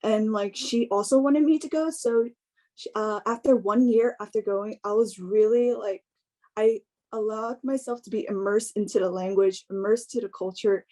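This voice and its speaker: A young woman giving an informal personal talk in a hesitant, halting way, often filling in with "like". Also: mid-range voice, mellow. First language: English. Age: young adult.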